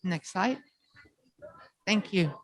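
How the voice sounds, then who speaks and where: A woman gives a conference presentation in a medium-pitched voice. She is informal and polite, a little hesitant, and the recording is dry. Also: lively intonation, drawn-out words, no room sound.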